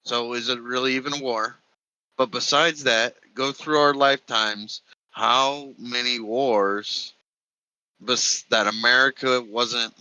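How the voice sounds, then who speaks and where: A man in his late thirties co-hosting a casual chat, laid-back and unhurried. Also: medium pitch, sharp tone, clear, resonant tone, dry recording, dull audio. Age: 30s. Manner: slow, laid-back, says "bro". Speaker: co-host.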